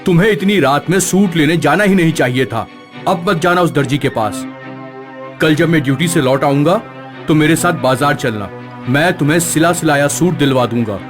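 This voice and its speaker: A man acts out an anxious village husband from a cartoon. He talks emphatically in a somewhat high voice. Soft music runs underneath. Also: moderate pace, natural voice. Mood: scolding. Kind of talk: animated story dialogue.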